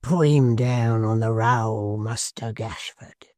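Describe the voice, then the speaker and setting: A man's voice: on the low side, fairly even intonation, takes it slowly, a little mellow, no echo, studio quality. A man reading a storybook aloud, voicing a wheezy, sly old male hangman. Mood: gleeful.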